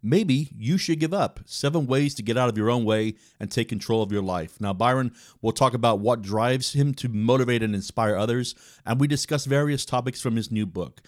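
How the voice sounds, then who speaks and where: A man hosts his podcast and reads the intro with an upbeat, announcer-like delivery before asking his guest questions. His voice sits at a middle pitch, and the sound is dry and close. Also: normal speed, few pauses, no echo. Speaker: podcast host. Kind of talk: scripted intro and interview questions.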